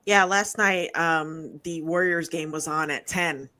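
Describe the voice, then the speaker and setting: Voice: mid-range voice, fairly even intonation, a little slow, sharp tone. A podcast host chatting casually and putting questions to a guest. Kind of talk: casual chat, asking questions.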